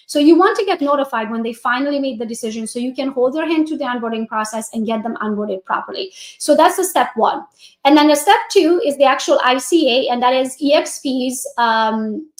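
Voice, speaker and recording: High-voiced Hungarian-accented woman coach. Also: echoey room. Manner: energetic, chatty, addresses viewers directly. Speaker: real estate coach. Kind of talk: coaching walkthrough on a live stream.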